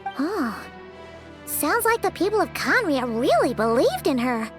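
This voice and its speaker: Squeaky, excitable little fairy girl. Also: measured pace. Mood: puzzled. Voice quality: childlike, squeaky. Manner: perky, exclamatory, chatty. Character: small, excitable floating fairy girl companion. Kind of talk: English video game voice acting. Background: background music.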